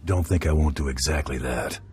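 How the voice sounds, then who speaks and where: An actor playing a curt, deadpan bounty hunter who trades threats with criminals in a game cutscene. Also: male voice, extremely low pitch, a little fast. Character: gruff male bounty hunter, dry and threatening. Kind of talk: video game cutscene acting.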